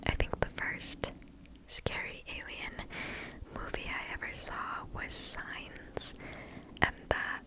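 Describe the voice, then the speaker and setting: Woman, ASMR host, breathy whisper, very slow pace, close-up mic, soft delivery. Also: low-quality recording. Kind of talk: ASMR whispering, reading stories and chatting. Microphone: close-up microphone.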